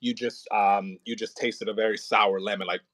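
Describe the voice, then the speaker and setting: A man working as an audio play director and acting coach, talking casually and informally, with halting pauses and emphatic repetition. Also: fairly low pitch, little pitch movement, fairly bright tone, slight background noise.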